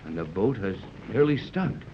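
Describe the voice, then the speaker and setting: An actor playing a steady, fatherly older yacht captain in a 1930s radio serial, measured and authoritative as he gives orders. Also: lowish voice. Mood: calm, commanding. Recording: muffled, noisy 1930s radio recording.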